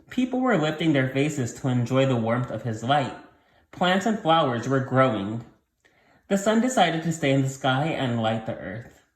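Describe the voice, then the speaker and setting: A man's voice: mid-range voice, echoey room. A man reading a story aloud to young children as their teacher, explaining things simply and repeating key points.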